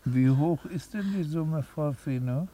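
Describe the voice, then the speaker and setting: An actor playing a formally polite, placating bureaucratic lawyer in a German radio drama, with an officious manner. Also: male voice, a little high, a little slow, mellow, lightly acted.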